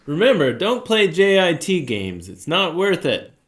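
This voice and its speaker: A man's voice: fairly high pitch, big pitch swings, a little slow, a bit bright, full character voice. A man playing an avuncular, somewhat cagey professor of occult studies in an audio drama, with a hammy, hesitant delivery. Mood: jokey. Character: male occult studies professor, fond of corny jokes, cagey.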